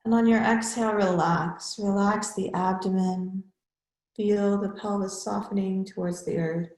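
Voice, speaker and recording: Woman yoga teacher doing guided relaxation, really slow n measured, short phrases w pauses, echoey room sound. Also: mid-range voice, fairly even intonation, darkish tone.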